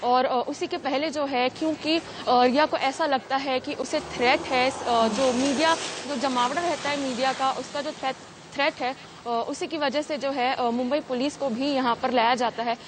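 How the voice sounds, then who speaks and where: A high-voiced female field reporter speaks live from outdoors. Her phrasing is hesitant and circles back on itself. There is faint noise around her. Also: fairly even intonation, moderate pace. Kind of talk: live on-location news report. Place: outdoors on a street outside an apartment building. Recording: noisy outdoor live feed.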